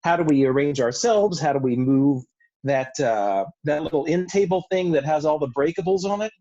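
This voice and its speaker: A man chatting casually on a video call, halting and circling back over his phrases. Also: on the high side, mellow. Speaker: cast member. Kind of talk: casual chat. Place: online group video call. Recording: dull lo-fi video call audio.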